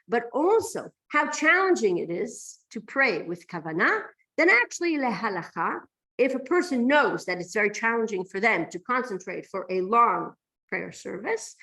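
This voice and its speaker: A woman's voice: a little high, gravelly, pushed and bright, rough recording. A woman teaching a religious class, explaining texts emphatically and often posing rhetorical questions to her listeners. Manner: emphatic, poses rhetorical questions and checks "right?".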